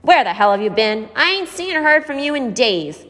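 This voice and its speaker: A woman's voice: high voice, sing-song, normal speed, a bit bright, voice pushed up. A woman voicing a violent, controlling male thug from a story, with a coarse, threatening, snarling manner. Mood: angry. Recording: echoey hall-like reverb.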